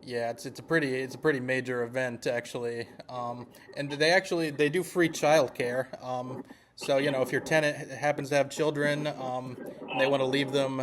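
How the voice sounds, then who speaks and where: A man playing an earnest, mild-mannered association representative on a phone call, speaking politely and hesitantly with a deadpan delivery. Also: medium pitch, moderate pace, close to an everyday voice. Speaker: prank caller posing as an activist group representative. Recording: noisy phone-call recording.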